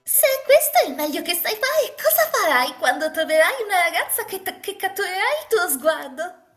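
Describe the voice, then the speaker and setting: A woman's voice: very high-pitched, very animated, normal speed, a bit bright, soft background music, pitched above the performer's own voice, full character voice. A woman voicing a playful, teasing young aristocratic sister in a visual novel reading, exclaiming as she goes.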